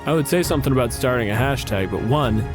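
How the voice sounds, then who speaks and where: A man's voice: mid-range voice, lively intonation, fast talker, over music. A man chatting casually and frankly as a podcast co-host and game master, swearing freely.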